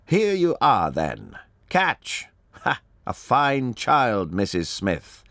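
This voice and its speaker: A shrewd gentleman detective from a storybook, as a male narrator plays him, courteous and smooth-talking. His delivery is measured but widely inflected, recorded very dry. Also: medium pitch, wildly swooping pitch, dry recording, full character voice. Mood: coaxing. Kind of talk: audiobook character voice.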